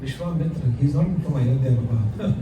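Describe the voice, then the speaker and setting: A man's voice: medium pitch, moderate pace. A man leading a devotional group discussion, asking questions and laughing often, recorded from far off in an echoey room. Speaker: discussion leader. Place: room at a home gathering. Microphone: far from mic. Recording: echoey room, far mic, background noise.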